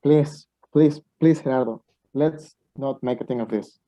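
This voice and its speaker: A man's voice: mid-range voice, unhurried, warm tone, natural voice. A man acting an anxious, impatient, lovestruck young student in an amateur radio play, earnest and a little stilted. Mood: earnest, defensive. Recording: dull lo-fi home recording.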